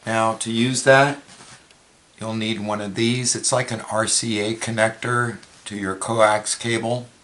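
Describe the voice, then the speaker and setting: A man's voice: lowish voice, level tone, unhurried, fairly bright tone, slight background noise. A man giving a plain, matter-of-fact, step-by-step hands-on product demonstration. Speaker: product reviewer, presenter.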